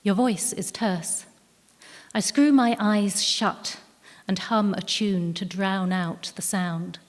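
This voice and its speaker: Female story reader, measured literary delivery, mid-range voice, live hall reverb. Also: medium pitch. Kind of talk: literary story reading, first-person narration. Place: live event venue, indoors. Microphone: stage microphone. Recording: echoey hall at a live event.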